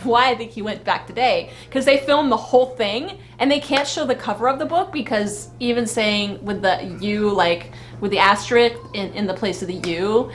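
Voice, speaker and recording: A woman's voice: medium pitch, normal speed. A woman co-hosting a fan vlog, chatting casually in a rambling, exclamatory way. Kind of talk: casual live chat recap.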